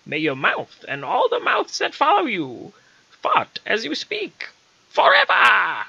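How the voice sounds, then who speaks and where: Defiant storybook witch, very high, blunt, drawn-out declaiming played by a man, forceful tone. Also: wildly swooping pitch, creaky, husky, no room sound, lo-fi sound, exaggerated voice. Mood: spiteful. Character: defiant, blunt female witch cursing her enemy.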